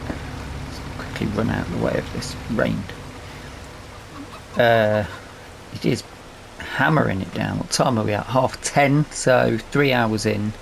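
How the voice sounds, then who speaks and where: A man's voice: on the low side, slow pace, creaky, a little hiss or hum, poor audio. A British man giving casual, unscripted let's play commentary on a video game, thinking aloud as he plays. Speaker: gaming video host. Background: farming game vehicle and ambience sounds.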